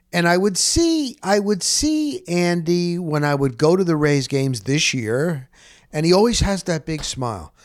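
A man's voice: a little high, a little mellow. A man hosting a podcast, talking casually in a rambling, emphatic way and repeating phrases for stress. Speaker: podcast host. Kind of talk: casual conversational podcast introduction.

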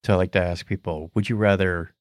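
A man's voice: deep voice, fairly even intonation, quick pace, dry recording, clean studio sound. An American man co-hosting a podcast in a lighthearted, jokey way, moving between scripted intro and casual banter. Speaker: podcast co-host. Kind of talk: scripted show opening and casual chat.

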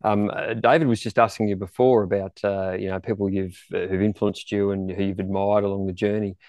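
A man co-hosts a podcast interview in a casual, conversational style. His voice is on the low side and recorded very dry, with no room sound. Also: flat delivery, fairly quick, darkish tone, dry recording.

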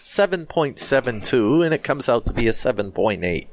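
Guy, gravelly and swingy, blunt joker co-host. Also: mid-range voice, normal speed, a little hiss or hum. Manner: jokey, blunt, lively. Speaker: podcast co-host. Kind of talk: casual banter.

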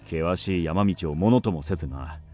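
An actor playing a rough, superstitious warrior of old Japan, speaking bluntly and coarsely in a radio drama. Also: male voice, low-pitched, moderate pace, darkish tone, close to an everyday voice. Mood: grave, hushed. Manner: coarse rustic male speech, blunt.

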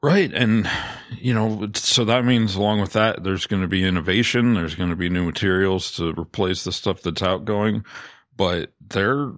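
Low-pitched man, dry close sound. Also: normal speed, fairly bright tone, dry recording. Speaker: podcast co-host presenting industry news. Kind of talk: casual industry news discussion.

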